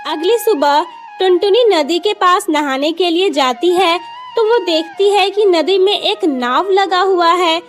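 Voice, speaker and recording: A woman's voice: very high-pitched, measured pace, soft background music. A woman narrating a scripted children's cartoon story.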